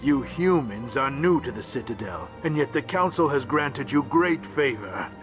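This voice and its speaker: A man's voice: mid-range voice, lively intonation, moderate pace, music in the background, close to an everyday voice. A man voicing a grumbling, resentful small alien ambassador in a video game, haughty and clipped in his phrasing.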